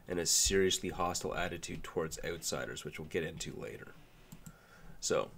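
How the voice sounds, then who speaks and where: Male host, deep and gravelly, nasal from a cold, relaxed and a bit rambling. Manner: relaxed, loose with fillers and restarts. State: nasal from a sinus flu. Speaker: video essay host. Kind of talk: casual explainer narration.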